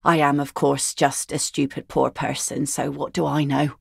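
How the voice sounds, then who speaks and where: A woman's voice: low-pitched, level tone, moderate pace, gravelly, slightly rough, dry recording, full character voice. An actress playing a weathered net picker and mother in a video game, dry and plain-spoken. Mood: dry, wry. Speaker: fisherwoman and mother.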